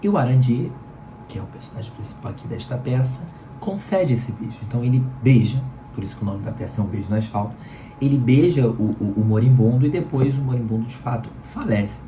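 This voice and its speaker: Hoarse Carioca guy reviewing a book. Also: mid-range voice, brisk, dark tone, a little hiss or hum. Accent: Carioca Brazilian Portuguese. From Rio de Janeiro, Brazil. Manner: chatty, leans on "né?" tags. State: hoarse, sore throat from a cold. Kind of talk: casual book review talk. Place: room at home in Rio. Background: electric fan running.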